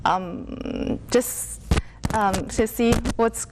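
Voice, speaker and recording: A woman from China with a Chinese accent, answering interview questions haltingly as she searches for words in English, which is not her first language. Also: on the high side, steady tone, noisy background. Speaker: interview guest.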